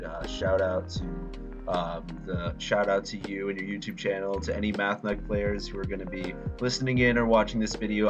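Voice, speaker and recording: A man hosting his own channel in a casual interview, speaking hesitantly with frequent "um" and restarts. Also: sits low. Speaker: host of a gaming channel. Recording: dull lo-fi audio.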